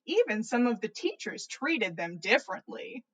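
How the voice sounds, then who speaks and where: A woman with a fairly high voice hosts a story reading. She delivers comedic fan fiction playfully, with amused, theatrical expression. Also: very animated, moderate pace. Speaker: podcast host reading listener stories.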